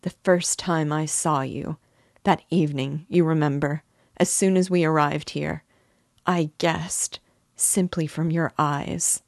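A woman's voice: deep voice, fairly even intonation, slow, creaky, no room sound, full character voice. Anxious tearful mother with a raised, pleading voice, in close dry audiobook sound; a woman reader puts her on. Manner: pleading, emotional, breaking into sobs. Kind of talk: audiobook character reading.

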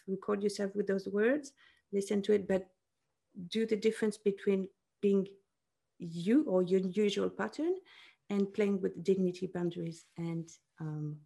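A woman coach with a soothing manner, explaining slowly and searching for words in an online conference session over a video call. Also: mid-range voice, gravelly, warm tone. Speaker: embodiment coach, session speaker.

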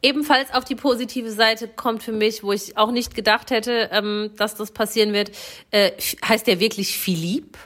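A woman's voice: fairly high pitch, steady tone, moderate pace, a bit bright. A young woman co-hosting a casual chat, playful and chatty, laughing often. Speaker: podcast co-host.

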